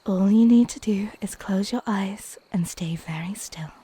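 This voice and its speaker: A woman playing a flirty, teasing mermaid in an intimate ASMR roleplay, coy and playful with breathy half-whispers. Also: medium pitch, unhurried, dark tone, slight background noise, lightly acted. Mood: coaxing.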